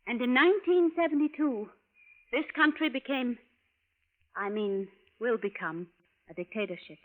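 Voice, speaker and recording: A woman playing an earnest traveler from the future in a vintage radio play. Her voice is on the high side and her phrasing formal and precise. It sounds thin and tinny, like an old broadcast. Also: normal speed, lightly acted. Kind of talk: 1940s-50s radio drama acting.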